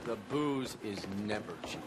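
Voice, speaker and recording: An actor playing a brisk, sardonic agent sidekick in a crime video game. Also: male voice, on the low side, expressive. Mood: wry, brisk. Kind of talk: video game drama acting. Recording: loud car and gunfire noise.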